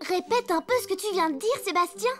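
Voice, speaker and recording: Very high, plucky cartoon girl. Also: fast talker, fairly bright tone, soft background music. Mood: consoling, resolute. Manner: bossy, encouraging, mission-leader talk. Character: upbeat, determined little elephant girl. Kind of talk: French cartoon dubbing.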